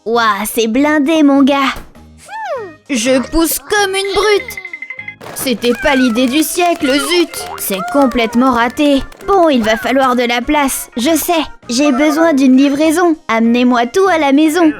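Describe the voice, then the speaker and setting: Very high-pitched little lion cub boy. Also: expressive, unhurried. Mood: eager, exclamatory. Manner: exclamatory, sing-song rhyming. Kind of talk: rhyming children's cartoon dialogue. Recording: children's music behind the voice. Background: children's music.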